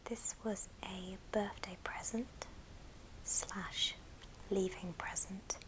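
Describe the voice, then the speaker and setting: Slow, whispering woman. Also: mid-range voice, steady tone, poor audio. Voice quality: soft breathy whisper. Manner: gentle, unhurried, affectionate. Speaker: ASMR video host. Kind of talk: whispered ASMR show and tell.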